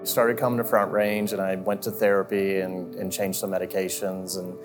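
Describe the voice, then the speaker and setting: A man from Central Florida sharing a soft-spoken, hesitant testimony. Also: low-pitched, flat delivery, moderate pace. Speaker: church member giving testimony. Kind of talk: testimony interview. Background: guitar music.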